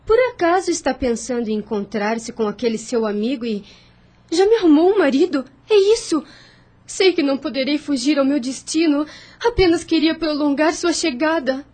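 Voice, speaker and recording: An actress playing a meek, anxious young farmer's daughter in a radio drama, speaking formally and earnestly. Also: female voice, sits high, moderate pace, a little mellow, natural voice. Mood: distressed, pleading.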